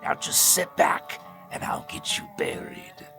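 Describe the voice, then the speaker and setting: A man's voice: a little slow, bright, forceful tone. A man playing a ghoulish gravedigger who narrates scripted horror tales in a theatrical, macabre, mock-sentimental way. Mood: mock-tender menace. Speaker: horror story host and narrator. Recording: music behind the voice.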